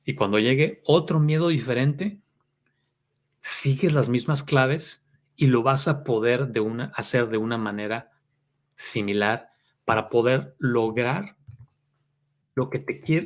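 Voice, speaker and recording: A man's voice: mid-range voice, a bit bright. A man with a Mexican accent giving a motivational self-help talk as a life coach, earnest and explanatory.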